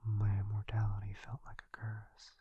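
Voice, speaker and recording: Man playing a whispering, husky, lovesick vampire lord. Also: lowish voice, monotone, moderate pace, mellow, muffled recording. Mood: tender, adoring. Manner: whispered, tender, intimate. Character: devoted, lovesick immortal male vampire lord. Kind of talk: boyfriend ASMR roleplay.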